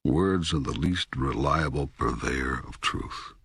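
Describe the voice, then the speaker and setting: Very deep, authoritative God. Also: male voice, gravelly, full character voice. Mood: firm, certain. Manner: deliberate, emphatic, didactic. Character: God, patient, authoritative, all-knowing male voice. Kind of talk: audiobook dialogue narration.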